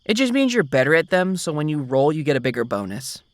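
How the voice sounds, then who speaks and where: A man's voice: fairly high pitch, big pitch swings, quick pace, no echo. A man doing an ASMR roleplay as a boyfriend, patiently explaining things to the listener. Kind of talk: soft-spoken ASMR roleplay.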